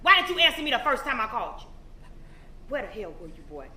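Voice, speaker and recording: A woman's voice: a little high, sing-song, fast talker, reverberant, full character voice. A woman playing a harsh, scolding mother. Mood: raging. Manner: scolding, sharp Southern slang. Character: harsh, abusive mother, loud and scornful. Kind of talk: stage play acting.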